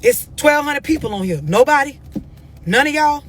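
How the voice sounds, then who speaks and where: A woman's voice: high-pitched, lively intonation, normal speed. An American woman giving blunt, unscripted relationship advice on a live stream, confrontational and emphatic, pressing her questions insistently. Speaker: host of a live stream talking to followers.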